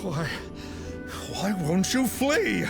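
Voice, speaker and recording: An actor playing a pompous, boastful but cowardly self-styled mystic in a video game, talking in a creaky voice with archaic phrasing. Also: male voice, sits high, very animated, drawn-out words, music in the background, heavily acted. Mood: frantic. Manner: pompous, archaic, calls himself "one". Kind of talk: theatrical video game voice acting.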